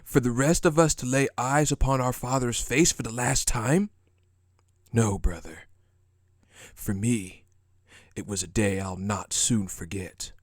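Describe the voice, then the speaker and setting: A man's voice: mid-range voice, unhurried, no room sound, clean studio sound, voice pushed up, natural voice. A man playing a stern but compassionate lord and grieving head of his house in a dramatic audio roleplay, speaking formally and emphatically. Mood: outraged. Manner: earnest, formal, emphatic, indignant.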